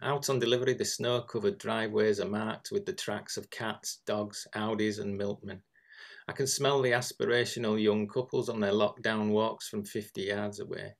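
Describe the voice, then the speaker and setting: A man's voice: fairly low pitch, fairly even intonation, moderate pace, creaky. A man reading short observational prose aloud from a script.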